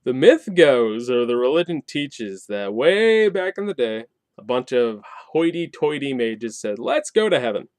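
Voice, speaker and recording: Guy casually explaining a game setting, wry sarcastic asides, average pitch, dry close sound. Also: sing-song, measured pace, a little mellow, no room sound. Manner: colloquial and wry, with sarcastic asides and mock dialogue. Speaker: tabletop game reviewer and host.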